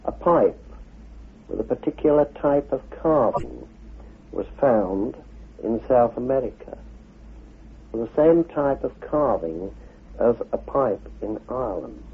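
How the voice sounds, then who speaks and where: Male lecturer, unhurried, animated intonation, muffled lo-fi radio sound. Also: mid-range voice, slight background noise. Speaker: author and head of an esoteric order. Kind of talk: measured expository lecture. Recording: dull lo-fi radio recording.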